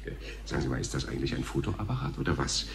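An actor playing a stammering, self-important and patronizing television talk show host in a radio play. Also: male voice, rumbling low, sing-song, very fast, vocal fry, heavily acted. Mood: incredulous. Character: flustered, smug male TV talk show host. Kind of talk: German radio play acting.

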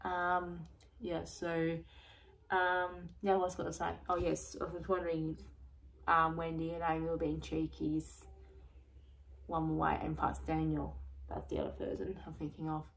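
A woman talking in a rambling personal vlog, repeating herself often, with a voice going croaky. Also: sits low, completely flat delivery, normal speed, rough recording. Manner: repetitive, loose, restarts and repeats herself often. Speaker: vlogger.

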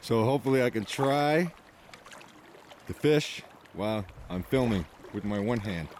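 A man in his forties, casually talking to a handheld camcorder while wading in a river, relaxed and self-deprecating. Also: medium pitch. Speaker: fly fishing vlogger. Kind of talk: casual outdoor vlog commentary. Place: outdoors, wading in a river in early spring. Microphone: handheld camcorder mic. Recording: wind noise on a camcorder mic outdoors. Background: wind and rushing water.

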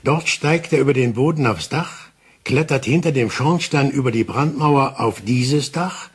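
An actor playing an older male detective in a German crime radio play, calm, insistent and explaining things deliberately with dramatic pauses. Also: medium pitch, slightly rough.

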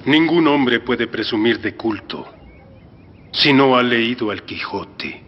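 A proud rich landowner with a husky, mid-pitched voice and a haughty, disbelieving manner. The sound is dull, with long reverb from the film track. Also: male voice, expressive, slow. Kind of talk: film dubbing.

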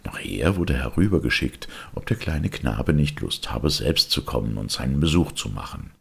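A man narrates a fairy tale in an extremely deep voice. It is very husky and full of creak. His delivery is theatrical and expressive, made for storytelling. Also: normal speed. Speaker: audiobook reader.

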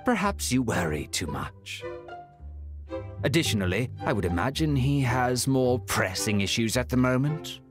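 An actor playing a suave, menacing gentleman debt collector in a video game, formal, condescending and deliberately polite. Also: mid-range voice, big pitch swings, music in the background. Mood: smug politeness. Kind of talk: video game character acting. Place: studio recording, orchestral strings behind.

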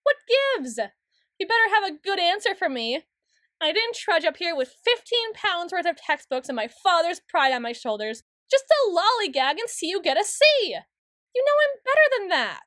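A woman playing a flustered, prim, studious young tsundere in an ASMR roleplay, speaking in halting, stammering, formal sentences. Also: very high voice, big pitch swings, a bit bright, no room sound, studio quality, exaggerated voice. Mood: guarded, prim, then indignant. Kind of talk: ASMR roleplay, acted monologue.

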